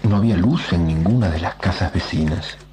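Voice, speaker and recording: An actor playing a comics writer who narrates a strange visitation in a radio play, measured and suspenseful. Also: male voice, sits low, music in the background, lightly acted. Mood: suspenseful wonder.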